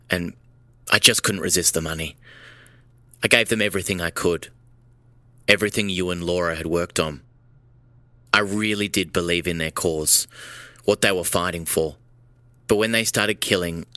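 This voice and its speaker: A man's voice: low-pitched, sharp tone, no room sound. An actor playing a beaten-down, remorseful man on the run, confessing earnestly in an audio drama.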